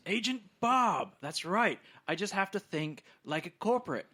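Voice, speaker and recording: Man playing a rambling, drugged-up male radio host, very animated. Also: sits high, normal speed, voice pushed up, exaggerated voice. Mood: cocky. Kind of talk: improvised role-play in a tabletop game.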